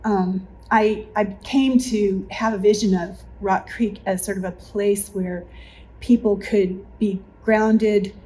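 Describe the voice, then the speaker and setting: A woman with a dark, mellow voice reflects in a group interview. She picks her words thoughtfully, pausing and restarting. There is slight noise behind her. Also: mid-range voice, fairly even intonation, low-quality recording. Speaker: church member recalling past challenges. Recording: faint background room noise.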